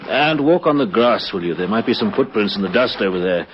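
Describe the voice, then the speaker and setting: An actor playing an experienced police sergeant in a radio drama, measured, matter-of-fact and authoritative. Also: male voice, on the low side, lively intonation, natural voice. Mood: brisk, cautious. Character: experienced, wary male police patrol sergeant. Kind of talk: vintage radio drama acting. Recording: dull old radio recording, faint noise.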